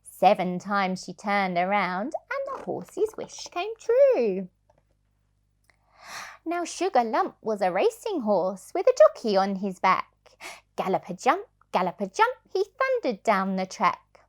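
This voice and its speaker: A woman reading a children's storybook aloud as a childminder, in an expressive, sing-song way. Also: medium pitch, slow pace.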